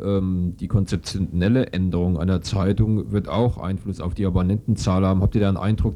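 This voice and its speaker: A man's voice: sits low, dark tone. A man interviewing and presenting on the radio.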